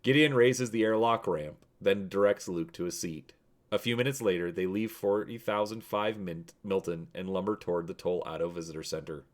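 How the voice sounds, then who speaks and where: A male host with a low-pitched voice narrates an adventure story. His delivery is that of a dramatic read-aloud from a storybook. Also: moderate pace.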